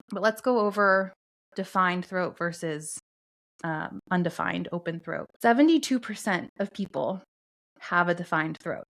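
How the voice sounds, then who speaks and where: A woman co-hosting a podcast, calmly explaining astrology and human design concepts in a steady teaching tone. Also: fairly low pitch. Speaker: podcast co-host.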